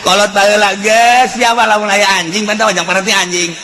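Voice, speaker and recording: A man with a Sundanese accent preaching in an animated, earthy, joking storytelling style. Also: high-pitched, brisk, a little hiss or hum. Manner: animated, breaks into shouts and laughter. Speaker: Islamic preacher. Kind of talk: humorous storytelling sermon.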